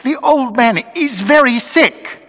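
A man's voice: very high voice, animated, slow, a bit bright, big-room sound, pitched above the performer's own voice, heavily acted. A man voicing a meek, anxious little secretary in a story, pleading earnestly and hesitantly.

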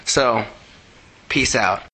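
Bright-voiced teenage boy, casual. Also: medium pitch, very slow, breathy edge, poor audio. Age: teen. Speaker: teen podcast contributor. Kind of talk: casual unscripted shout-out.

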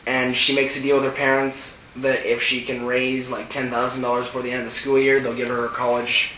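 A man's voice: medium pitch, completely flat delivery, fairly quick, sharp tone. A teenage male chatting casually about books in a group, joking and slangy. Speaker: podcast book reviewer. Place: room with a group of people. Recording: echoey room, distant mic, faint noise.

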